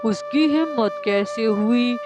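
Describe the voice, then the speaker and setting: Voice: medium pitch, slow pace, lightly acted. A performer voicing an indignant parent crow in a children's cartoon, protective of a son. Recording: loud music behind the voice.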